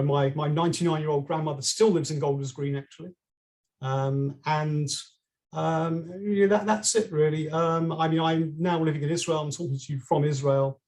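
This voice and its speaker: A man's voice: fairly high pitch, darkish tone. A middle-aged man from London with a British accent, a podcast guest telling his story with frequent ums and restarts. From England. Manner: hesitant, many ums and restarts. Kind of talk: conversational storytelling.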